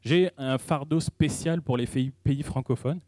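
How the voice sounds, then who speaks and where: A man giving a sermon in a conversational style, pausing often and restarting his sentences as he speaks. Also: medium pitch, dark tone, dry recording. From French-speaking. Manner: conversational preaching with frequent hesitations and restarts. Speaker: preacher.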